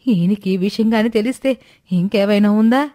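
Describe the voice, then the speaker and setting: A woman's voice: mid-range voice, normal speed, dark tone, natural voice. A woman voicing a stingy old village grandmother in a moral storybook tale, evasive and grumbling in a folksy, exclaiming way. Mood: alarmed.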